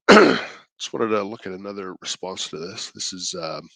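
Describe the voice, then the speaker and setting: A man with a stuffed-up cold voice, hosting his own channel in casual, halting commentary, mild-mannered and thinking aloud. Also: low voice, expressive, creaky, bright, forceful tone, no echo. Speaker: indie creator and channel host.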